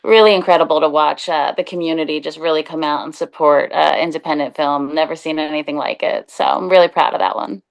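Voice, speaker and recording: A woman's voice: low-pitched, fairly even intonation, fast talker, creaky, hardly any pauses. An American woman chatting as a podcast guest, upbeat and earnest. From Philadelphia suburbs, United States. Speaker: actor and film producer, podcast guest. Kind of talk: casual chat.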